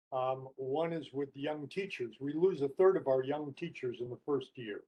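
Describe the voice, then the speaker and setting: An older man speaking as a panelist in a webinar, explaining his work in a measured, earnest way. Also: mid-range voice, mellow, poor audio.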